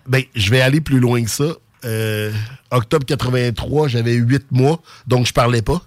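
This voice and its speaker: A man in his forties with a Québécois accent, a radio guest speaking in a measured, slightly hesitant, good-humored way. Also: mid-range voice, no echo, studio quality. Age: 40s. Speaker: guest, club director. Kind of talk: casual radio interview.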